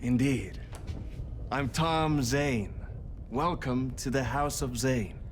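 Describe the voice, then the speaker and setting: An actor playing a self-important male filmmaker and poet in a video game, speaking floridly with theatrical pauses and repetition. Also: medium pitch, slight background noise, natural voice. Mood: grandiose, teasing. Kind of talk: dramatic game acting. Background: faint music.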